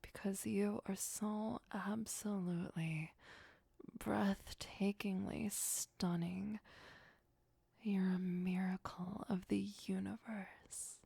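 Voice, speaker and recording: Woman voicing a sweet but possessive vampire gf, asmr roleplay, slow breathy whispering, soft and mellow. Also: medium pitch, fairly even intonation, dry recording, exaggerated voice. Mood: adoring, devoted. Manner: breathy, slow, intimate, doting. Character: possessive, sweetly obsessive vampire girlfriend, playful and adoring.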